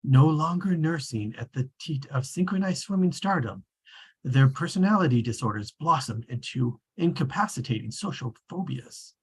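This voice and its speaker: A man reading as a wry, measured storybook narrator with a husky, rough-edged voice at a scripted table read. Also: medium pitch, expressive.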